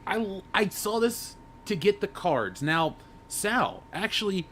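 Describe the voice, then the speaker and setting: A man's voice: big pitch swings, slow, fairly bright tone. A man in his thirties chatting casually as a panelist. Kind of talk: casual chat. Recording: faint low rumbling background noise.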